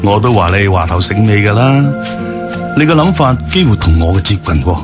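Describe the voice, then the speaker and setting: A man acting an elderly, weary and tender boss in a radio drama, speaking gently and reflectively. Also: on the low side, lively intonation, fairly quick, natural voice. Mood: fatherly, approving. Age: older. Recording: background music under the voice.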